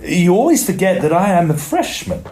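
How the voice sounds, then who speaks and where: Lively, higher-voiced young British gentleman student. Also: moderate pace. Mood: dry, curious. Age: young adult. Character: genial, studious young Victorian Oxford freshman. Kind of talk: audio drama acting.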